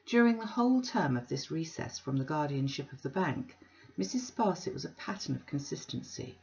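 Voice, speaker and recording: A woman narrating a classic novel as an audiobook, in a measured, even storytelling manner. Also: low voice, creaky. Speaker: audiobook reader. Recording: dull lo-fi recording.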